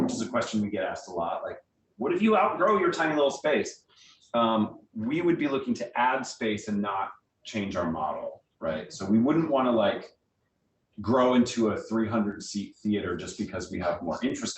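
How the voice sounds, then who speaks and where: Echoey, low-voiced American man explaining casually. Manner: conversational, explanatory, uses everyday analogies. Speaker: guest speaker, theatre director. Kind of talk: informal question-and-answer talk to a small meeting. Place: indoor meeting room. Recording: echoey room, distant mic, faint rumbling noise.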